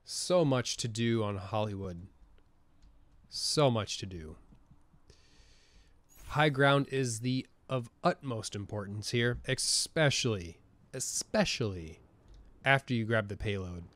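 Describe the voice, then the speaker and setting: A man casting a tournament match of a video game, with excited play-by-play and casual asides, sniffling from a cold. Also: mid-range voice, takes it slowly, long pauses, no room sound. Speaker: esports caster. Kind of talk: game commentary.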